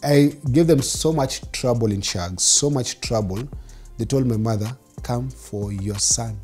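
A Kenyan man in his thirties, telling his childhood story in an interview, mixing in Swahili, animated. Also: medium pitch, unhurried, faint music behind. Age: 30s. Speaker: interview guest. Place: living room studio set, seated in armchair.

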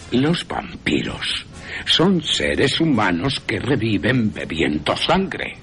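Man acting out a slow, grave vampire-hunting professor. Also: on the low side, fairly bright tone, voice pushed up, heavily acted. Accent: Castilian Spanish. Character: learned, patient, resolute old vampire-hunting professor.

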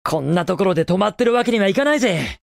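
A man's voice: sits high, very animated, fast talker, vocal fry, dry recording, clean studio sound, full character voice. A male actor voicing a cocky, daring young cartoon hedgehog hero in a video game, with cool, confident, casual delivery. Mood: urgent, determined. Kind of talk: video game voice acting, short in-game lines.

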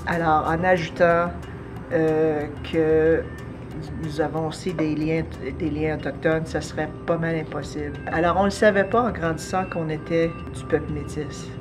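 A woman in her forties with a Canadian French accent, interviewed as an artist, speaking thoughtfully with frequent pauses and fillers. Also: sits low, level tone. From Ontario, Canada. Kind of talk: documentary interview. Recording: music bed under voice.

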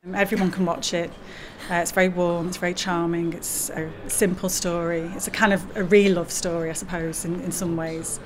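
A woman's voice: lowish voice, flat delivery, normal speed, hardly any pauses. A woman in her forties speaking in an interview as a lecturer and writer, recorded in a large indoor hall. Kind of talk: interview about own film. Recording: noisy room with background ambience.

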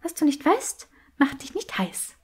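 A woman's voice: high-pitched, wildly swooping pitch, measured pace, pitched above the performer's own voice, exaggerated voice. A woman voicing a clever, earnest young witch with teasing wit in an audiobook, matter-of-fact in manner.

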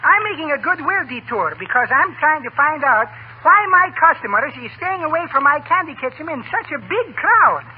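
An actor playing an excitable, good-natured candy shop owner with garbled grammar in a 1940s radio comedy. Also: male voice, very high-pitched, normal speed. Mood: puzzled, friendly. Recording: noisy, tinny 1940s radio broadcast.

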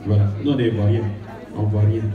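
A man's voice: lowish voice, flat delivery, brisk, narrow, tinny audio, over music. A man from Côte d'Ivoire acting as master of ceremonies, announcing speakers in a hesitant, chatty way. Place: large banquet hall. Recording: roomy hall, distant mic, crowd.

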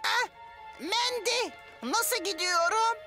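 A woman's voice: extremely high pitch, animated, takes it slowly, over music, full character voice. A woman voicing a boastful, stubborn, mischievous young boy in a children's cartoon dub. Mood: cocky. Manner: cocky, insistent.

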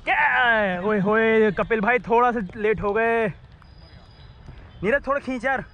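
A young man with a very high voice shouts quick encouragement across an open cricket ground. His calls come through a body-worn camera with clear outdoor noise behind them. He keeps urging his teammates on between deliveries. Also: narrow, tinny audio. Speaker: cricket player filming from the field. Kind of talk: shouted on-field cricket chatter. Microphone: body-worn action camera.

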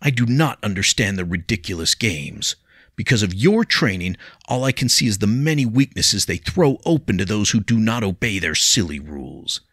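A man's voice: lowish voice, expressive, normal speed, a bit bright, husky, no echo, clean studio sound, pitched above the performer's own voice, heavily acted. A man voicing a proud young male warrior pupil who protests and pleads in raised, indignant outbursts. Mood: defiant.

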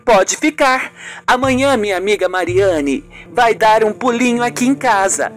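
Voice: medium pitch, lively intonation, normal speed, soft background music, voice pushed up, close to an everyday voice. A performer voicing a chatty, friendly woman painter talking to a girlfriend in an amateur radio soap opera. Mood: friendly, animated.

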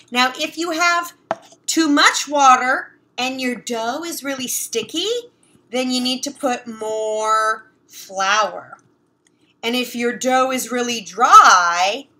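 A woman's voice: a little high, slow pace, bright, forceful tone, poor audio. A young adult woman teacher talking to children in a friendly instructional style, explaining simply step by step with repetition. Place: home kitchen, indoors. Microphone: camera at about arm's length.

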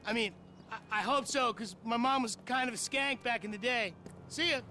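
An actor playing a spoiled, whiny young American slacker son, slangy and wannabe cool, in a video game cutscene. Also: male voice, very high-pitched, moderate pace, bright, forceful tone. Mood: wheedling, cocky. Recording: noisy vehicle ambience behind voice.

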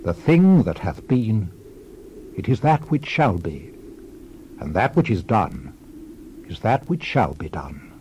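An actor playing a world-weary, reflective old king and preacher in a dramatized scripture reading, solemn and measured. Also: on the low side, very animated, dark tone, muffled recording, a little hiss or hum.

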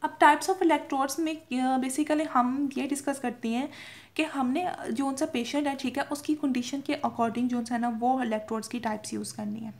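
A young woman with a fairly high voice teaches in an informal, explanatory way. She goes over each point more than once and keeps checking in with short tags. English technical terms are mixed into her speech. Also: fairly even intonation, moderate pace. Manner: repetitive, with frequent checking tags. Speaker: medical lecturer. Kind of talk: informal explanatory lecture from notes.

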